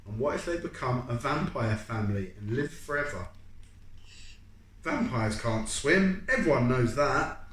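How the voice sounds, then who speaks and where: An adult reader putting on an eager storybook schoolchild, excitable and insistent, pitching ideas with lively swings. The sound is an echoey room with some noise behind. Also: unhurried, distant mic. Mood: excited, insistent.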